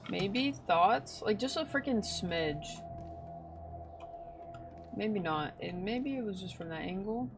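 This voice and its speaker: A woman's voice: medium pitch, lively intonation, takes it slowly, long pauses. A woman streamer reacting casually to a game in short, slangy interjections. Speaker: gaming streamer. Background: game music.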